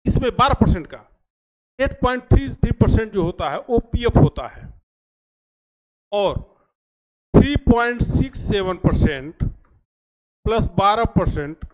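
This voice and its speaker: A man's voice: sits high, animated, unhurried, pauses often, poor audio. A man giving an informal explanatory lecture, repeating key phrases for emphasis in a didactic way. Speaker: teacher explaining pension schemes.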